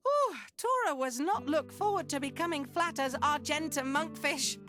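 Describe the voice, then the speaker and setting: An actress playing a small, cute, excitable creature with childlike broken grammar in a video game, over music. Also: female voice, high-pitched, moderate pace. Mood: excited. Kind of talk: video game cutscene acting.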